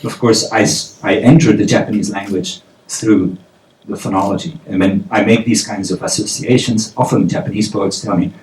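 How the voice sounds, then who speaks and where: A man's voice: on the low side, fairly even intonation, normal speed, darkish tone, a little hiss or hum. A male poet musing thoughtfully on language at a festival panel. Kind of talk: public panel talk. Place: event hall. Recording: echoey hall.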